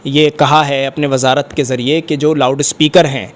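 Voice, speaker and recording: A man's voice: mid-range voice, flat delivery, moderate pace. A man conducting a news interview, putting long, probing questions to a guest.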